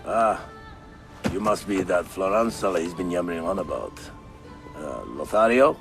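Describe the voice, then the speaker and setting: An actor playing an offhand, chatty local contact in a game cutscene, with a casual, wry delivery. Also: male voice, deep voice, animated, moderate pace, music in the background. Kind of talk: dramatic video game cutscene acting.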